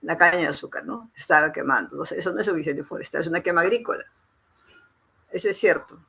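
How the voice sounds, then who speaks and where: A woman's voice: sits low, fast talker, vocal fry, bright, forceful tone, breathy edge. A woman with a Peruvian accent giving an informal lecture as an instructor, repeating phrases for emphasis and adding short tag questions. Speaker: engineer giving a training lecture.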